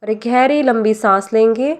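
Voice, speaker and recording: An Indian woman leading a guided meditation and prayer, speaking gently and deliberately with pauses and repeated phrases. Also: a little high. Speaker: guided meditation leader, life coach.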